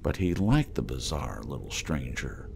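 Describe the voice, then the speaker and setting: A man's voice: a bass voice, sing-song, a little slow, vocal fry, darkish tone, a little hiss or hum. A man reading a work of fiction aloud as an audiobook narrator.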